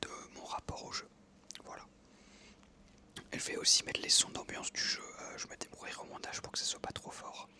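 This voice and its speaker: A young man from France in his twenties, telling relaxed stories in a soft, unvoiced ASMR whisper while he plays a game, with many pauses and fillers. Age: 20s. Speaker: ASMR creator. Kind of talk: whispered ASMR storytelling over gameplay.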